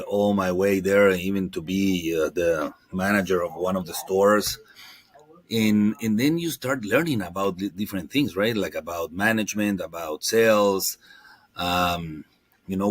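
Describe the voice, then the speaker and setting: Low-voiced man with a Guatemalan Spanish accent. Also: a little slow, no echo. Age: 40s. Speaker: podcast guest, marketing entrepreneur. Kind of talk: casual interview chat.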